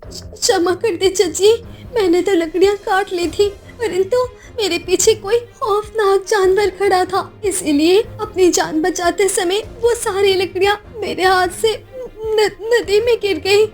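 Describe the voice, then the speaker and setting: Casting: timid orphan girl for a cartoon tale played by a woman, extremely high voice, anxious stammer, pleading. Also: unhurried. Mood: apologetic, pleading. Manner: stammering, repeats words anxiously.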